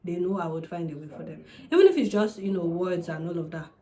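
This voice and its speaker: A young woman in her twenties, a native Yoruba speaker with a Nigerian accent, chatting casually and candidly to camera with quick asides. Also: fairly low pitch, mellow. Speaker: vlogger. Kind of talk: casual sit-down advice chat.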